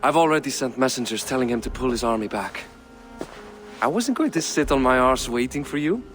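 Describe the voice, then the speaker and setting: A man's voice: medium pitch, animated, a little fast, fairly bright tone, natural voice. A cocky Viking lord, played by a man, speaks in a level mid-range voice with wry, scheming remarks in a video game cutscene. Faint music hums under his lines. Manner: wry and cocky, coarse asides. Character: sly, scheming male Viking noble, cousin.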